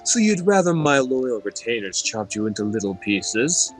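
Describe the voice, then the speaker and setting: A man's voice: mid-range voice, big pitch swings, normal speed, faint music behind, natural voice. A man voicing a regal, nonchalant demon lord who is haughty, taunting and commanding, in a game fandub. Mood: mocking, teasing. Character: regal male demon lord, ageless, haughty, mocking, playfully menacing.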